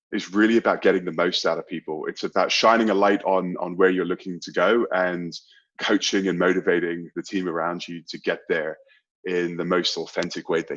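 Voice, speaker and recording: A man's voice: low voice, fairly bright tone, dull audio. A man in his thirties from Bermuda with a British accent touched by American and Australian sounds, chatting casually as an interview guest. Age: 30s. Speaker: guest, sales executive.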